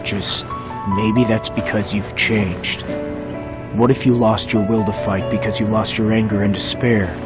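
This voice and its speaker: A man playing a young warrior hero in a video game, pragmatic and dry, with a steady, matter-of-fact delivery. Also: fairly low pitch, a little slow, natural voice. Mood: earnest. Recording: loud music under the voice.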